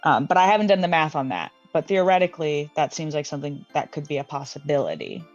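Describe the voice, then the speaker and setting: A woman with a somewhat low pitch talks casually at a tabletop game, thinking out loud and restarting her sentences. The mic is dull and dry, and soft music plays underneath. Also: animated, fast. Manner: thinks aloud with many restarts and fillers. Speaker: player. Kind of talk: casual tabletop planning chat.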